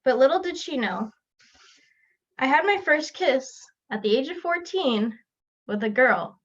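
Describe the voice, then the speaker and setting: A young woman from South Florida tells her own story as a student speaker. Her voice sits a little high, and she speaks earnestly, pausing and restarting now and then. The sound is dull and lo-fi, as from a video call. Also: lively intonation. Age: young adult. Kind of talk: personal narrative speech. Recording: lo-fi online call audio.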